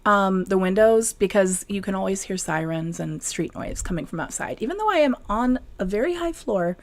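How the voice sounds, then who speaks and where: A woman's voice: mid-range voice, expressive, moderate pace. A middle-aged woman from northern Wisconsin, co-hosting a casual chat and laughing at herself often. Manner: chatty, self-deprecating, laughs often. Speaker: co-host, knitwear designer. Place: hot apartment in a city. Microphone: video call.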